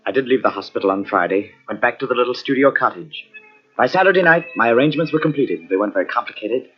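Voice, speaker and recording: Half mad brooding pianist, old muffled radio drama, voice swings all over, rough edge. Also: male voice, medium pitch, lively intonation, fast, faint music behind. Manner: intense, brooding, theatrical. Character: obsessed, half-mad concert pianist man who lost his left hand. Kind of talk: vintage radio mystery acting with first-person narration.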